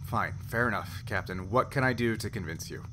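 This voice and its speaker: A man's voice: on the low side, fairly quick, sharp tone, slight background noise, low-quality recording. A man playing an impatient, defensive male scientist in a tabletop roleplay, speaking formally and insistently. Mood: grudging. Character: testy, defensive male chief scientist, impatient but polite.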